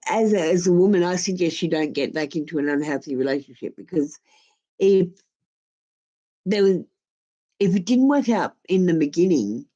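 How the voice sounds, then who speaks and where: Female live-stream tarot reader, unhurried and soft-toned, informal and plain-spoken in her advice. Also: fairly low pitch, muffled recording. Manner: blunt, informal, sweary. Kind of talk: casual live tarot reading, giving advice.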